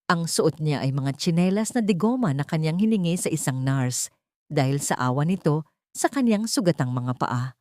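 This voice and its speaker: Female Filipino narrator for dramatic audio fiction; low, soft-edged tone. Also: lively intonation, moderate pace, no echo, clean studio sound.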